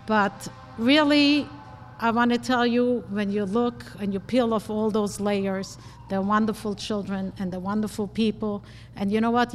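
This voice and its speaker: Chatty therapist lady talking to a crowd in a big echoey hall, lots of ums, a bit of hiss. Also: medium pitch. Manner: chatty, self-deprecating, frequent fillers. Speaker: marriage and family therapist. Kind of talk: speaking at a community event. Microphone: handheld mic, then speaks without one. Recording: reverberant hall, faint noise.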